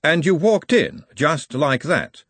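A man's voice: on the high side, very animated, slow pace, fairly bright tone, husky, no room sound, voice pushed up, heavily acted. A man voicing an imperious, disdainful noblewoman in a storybook, formal and pointed in manner. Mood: haughty. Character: haughty, imperious aristocratic lady, sharp and disdainful.

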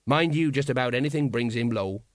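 A man voicing a gloomy, plain-spoken older watch sergeant, flat and colloquial, in a storybook reading. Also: medium pitch, fairly quick, no room sound, studio quality, close to an everyday voice. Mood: glum explaining.

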